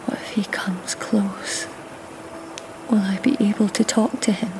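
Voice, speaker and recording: A woman playing a proud, lonely, cursed young daughter of a goddess in an audio drama, speaking in a measured, hushed, solemn way. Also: medium pitch, fairly even intonation, whispered, lightly acted, low-quality recording. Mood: hushed wonder. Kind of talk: audio drama acting with first-person narration.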